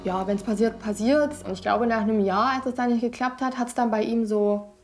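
A woman's voice: fairly high pitch, fairly quick. Chatty woman in her late twenties. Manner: chatty, light and self-mocking. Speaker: reality documentary participant. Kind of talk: casual chat. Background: background music.

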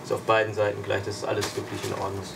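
A man's voice: fairly low pitch, completely flat delivery, racing, gravelly. A man, a doctor, talking casually to a patient in a noisy examination room, away from the microphone. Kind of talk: casual consultation talk. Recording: noisy room, far from mic.

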